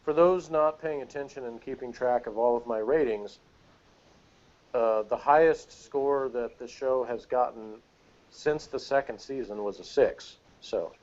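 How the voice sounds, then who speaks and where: Middle-aged man, average pitch, casual review talk, rambling and halting, muffled lo-fi audio. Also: darkish tone. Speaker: podcast panelist reviewing TV episodes. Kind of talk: casual opinionated review chat.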